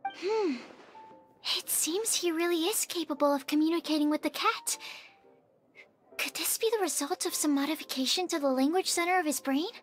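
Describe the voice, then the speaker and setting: A woman voicing a tiny childlike girl companion in a video game, squeaky, blunt and excitable. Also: normal speed, lightly acted. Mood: doubtful. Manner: squeaky, blunt, refers to self in third person. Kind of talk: video game cartoon-like acting. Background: game music.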